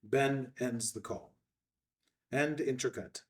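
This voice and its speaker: A man's voice: medium pitch, takes it slowly, recorded from a distance. A man reading screenplay stage directions as scripted narration. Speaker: stage directions reader at a table read.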